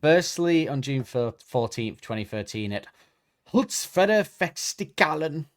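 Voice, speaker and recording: A man's voice: fairly high pitch, big pitch swings, measured pace. A man co-hosting a podcast, chatting casually and reading facts from notes while correcting himself as he goes. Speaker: podcast co-host.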